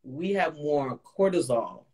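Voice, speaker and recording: A woman with a Guyanese accent hosting a live Q&A chat as a chef, animated and coaching, talking over a video call. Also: slow, low-quality recording. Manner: animated, coaching, jumps into high playful voices. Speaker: host, plant-based chef.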